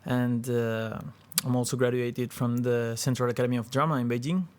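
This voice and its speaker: A young man from Venezuela, speaking at a mid pitch. He answers interview questions hesitantly, stopping often to search for words. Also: fairly even intonation, normal speed, darkish tone. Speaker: film crew member, actor. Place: hotel room.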